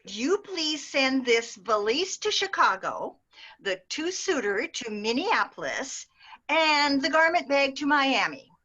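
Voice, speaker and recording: A woman's voice: high voice, sing-song, measured pace, bright, forceful tone, dull audio, exaggerated voice. A woman reading the part of a demanding female airline passenger, talking insistently.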